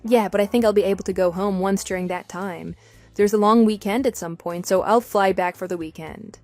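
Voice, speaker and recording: Affectionate wife for audio drama, female actor: sweet, chatty, mid-pitched, soft music underneath. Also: fairly even intonation, a little fast, no echo, natural voice. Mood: fond, playful. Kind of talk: scripted texting-story radio drama.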